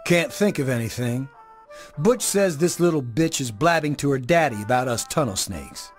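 A man's voice: medium pitch, lively intonation, moderate pace, faint music behind, close to an everyday voice. A man voicing a cocky young gang member in a video game, boastful and bullying with a sneering swagger. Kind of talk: video game dialogue acting.

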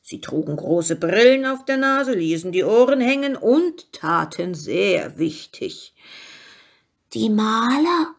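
A woman reading a fairy tale as scripted narration, expressive and with dramatic emphasis. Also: on the low side, a little slow, creaky, hardly any pauses. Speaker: story reader.

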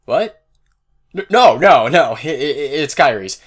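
A man's voice: on the high side, lively intonation, slow, husky, full character voice. A man playing a nervous, kind-hearted teenage boy in a fan audio drama, stammering as he talks. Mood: panicked, nervous.

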